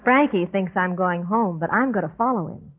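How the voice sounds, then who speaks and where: A woman's voice: lowish voice, moderate pace, close to an everyday voice. A woman playing a jealous, wronged sweetheart with mock-tragic delivery in a 1940s radio comedy. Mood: determined. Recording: tinny old radio recording over music.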